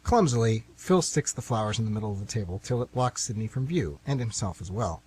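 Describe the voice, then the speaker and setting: A man's voice: lowish voice, expressive, fairly quick, a little mellow, slightly rough, low-quality recording. A man narrating and announcing a scripted radio theatre play.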